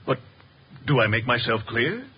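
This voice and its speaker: An actor playing a polite but nervous, evasive villain with a hesitant stammer, in 1950s radio drama style. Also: male voice, medium pitch, very animated, normal speed, breathy edge. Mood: nervous, faltering. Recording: muffled, noisy vintage radio recording.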